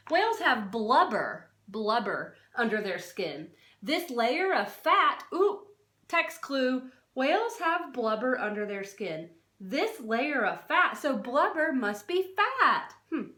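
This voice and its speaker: A woman's voice: sits high, pushed and bright. A woman reading a non-fiction children's book aloud like a teacher, expressively, stressing key words and adding short explanatory asides. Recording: roomy, echoey sound.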